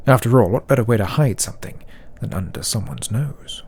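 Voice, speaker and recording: A man reading a scripted fantasy story as narrator, with dramatic, deliberate phrasing. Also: fairly low pitch, animated, creaky, darkish tone, slight background noise. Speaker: audiobook narrator.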